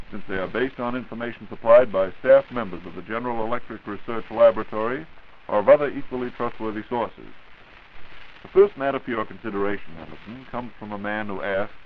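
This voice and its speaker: A man's voice: lowish voice, fast. A man hosting a scripted 1940s radio program with a polished, courteous announcer delivery. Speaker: radio host. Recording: dull, hissy 1940s radio recording.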